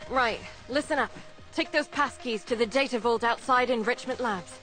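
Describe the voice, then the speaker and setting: Actress doing a tough rebel boss lady, bossy dry game briefing, slightly high voice, soft music behind. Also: moderate pace, lightly acted. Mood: commanding, wry. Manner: commanding, dry. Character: tough, commanding female resistance leader. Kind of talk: video game mission briefing.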